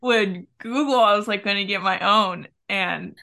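A woman's voice: medium pitch, a little slow, vocal fry, pushed and bright. A woman hosting a podcast, chatting and telling stories with frequent laughter. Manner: chatty, giggly storyteller. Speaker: podcast host. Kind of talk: casual podcast chat.

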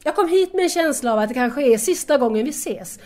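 A woman's voice: sits high, lively intonation, a little mellow. A woman playing a hurt, anxious young woman in an audio drama, speaking earnestly and formally to estranged lovers. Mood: grieving, disappointed. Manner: earnest, wounded, formal address.

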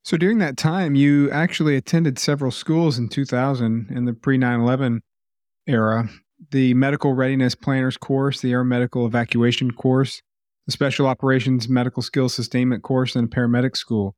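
A man with a mid-range voice hosts an interview podcast. He reads out prepared background details and then poses his question in an even, measured way. The recording is dry and close. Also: mid-range voice, dry recording. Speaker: podcast host.

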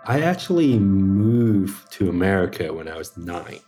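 A middle-aged man from Hong Kong with a fairly low voice, talking as a podcast guest. He tells his story in a relaxed, chatty way and leans on fillers like "like.". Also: measured pace, soft background music. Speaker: interview guest, media executive. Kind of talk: casual personal storytelling.

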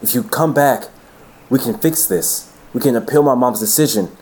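An actor as an earnest young man, lovelorn and pleading. His voice sits at an ordinary male pitch, played as audio drama. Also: moderate pace, a little mellow, natural voice.

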